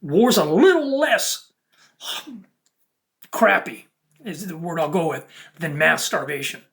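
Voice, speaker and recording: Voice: mid-range voice, expressive, slow pace, vocal fry, a bit bright. A speaker delivering an unscripted, preachy monologue to viewers in emphatic, broken-up phrases. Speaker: content creator.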